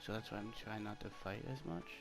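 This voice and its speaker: A man giving casual, low-key ASMR game walkthrough commentary in a rambling, hesitant way. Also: expressive, fairly quick, gravelly. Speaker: gaming ASMR creator. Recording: loud game music behind voice. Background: video game music and sounds.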